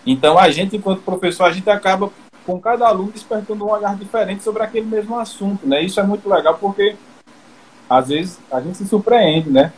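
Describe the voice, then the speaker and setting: A man's voice: sits high, fairly quick, slight background noise, poor audio. A Brazilian man hosting a podcast, asking long, winding questions in an engaged, conversational manner. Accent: Brazilian Portuguese. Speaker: podcast host. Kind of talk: casual interview talk.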